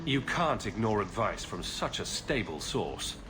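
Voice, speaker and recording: A man's voice: fairly low pitch, very animated, unhurried, a crowd in the background. An actor playing a blunt, dry-witted man in a video game, with an exasperated edge. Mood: dry, prodding.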